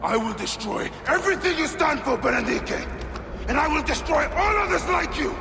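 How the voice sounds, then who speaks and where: An actor playing an enraged, vengeful Egyptian warrior in a video game, fierce and forceful in his declarations. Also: very high-pitched, animated, normal speed, vocal fry, slight background noise, heavily acted. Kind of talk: dramatic game cutscene acting. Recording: reverberant, loud music behind.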